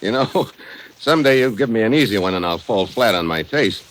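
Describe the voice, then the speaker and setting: Actor as low-voiced wisecracking agent, lively delivery. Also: male voice, a little fast, natural voice. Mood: wry, breezy. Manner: wry, casual banter. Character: wisecracking, breezy male secret agent. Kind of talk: 1950s radio adventure acting.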